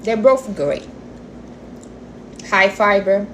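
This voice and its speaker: A woman's voice: mid-range voice, drawn-out words, slight background noise. A woman of forty chatting casually and informally in non-native English phrasing, like a host answering viewers. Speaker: livestream host answering viewer questions on diet. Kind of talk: casual chat.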